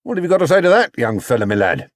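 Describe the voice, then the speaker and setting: A man's voice: fairly high pitch, very animated, fast talker, dry recording, studio quality, voice pushed up. Man acting a stern, pompous railway inspector, swooping delivery. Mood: disbelieving, severe.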